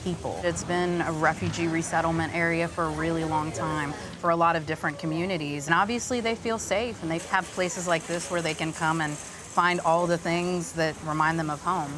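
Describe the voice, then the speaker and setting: A woman's voice: deep voice, fairly even intonation, a little fast, a crowd in the background. A woman guiding a market visit in casual chat. She reacts with enthusiasm and explains her town.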